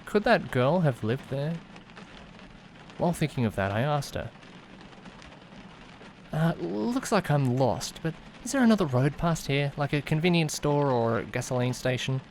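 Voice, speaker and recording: A man playing an anxious young student driver, narrating an eerie audiodrama in an expressive, brooding way with hesitant speech. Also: a little high, a little slow, pauses often, a little hiss or hum. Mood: uneasy wonder, then hesitant, concerned. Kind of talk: first-person dramatic narration, visual novel audiodrama.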